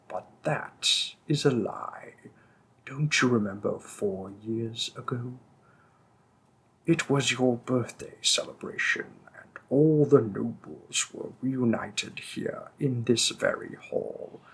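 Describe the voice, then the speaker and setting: A man voicing a possessive, seductive male demon king in an audio roleplay, speaking softly and often in a whisper, slow and teasing. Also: medium pitch, vocal fry, husky, heavily acted.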